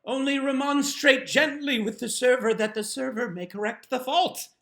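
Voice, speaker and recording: A man's voice: extremely high pitch, normal speed, fairly bright tone, voice pushed up. A man performing a comic reading as a stern, exasperated father who proclaims household laws in pompous, solemn, mock-scriptural style. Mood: exasperated, thundering. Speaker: reader performing a humorous essay.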